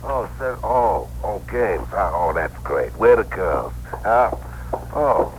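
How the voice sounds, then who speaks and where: An actor playing a tipsy, bewildered wisecracker who rambles haltingly in slang, in a 1960s radio drama. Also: male voice, on the low side, animated. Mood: tipsy, jovial. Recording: noisy vintage radio broadcast recording.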